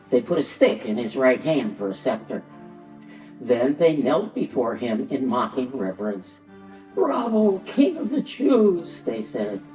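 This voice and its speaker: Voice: medium pitch, darkish tone. A narrator reading a scripted Passion story, telling it dramatically and acting out the quotes. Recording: dull, distant, echoing church sound with music.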